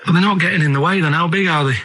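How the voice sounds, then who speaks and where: A male radio co-host with a bright, forward voice. He chats casually and rambles along, sounding earnest and puzzled as he poses naive questions. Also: a little high, rapid-fire.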